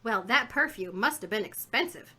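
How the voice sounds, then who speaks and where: Lively, chatty American woman witness, as acted. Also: fairly high pitch, normal speed, a crowd in the background, lightly acted. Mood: earnest, pondering. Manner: rambling, folksy, emphatic. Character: chatty, earnest female shop witness, fussily recalling details. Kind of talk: 1950s radio drama acting.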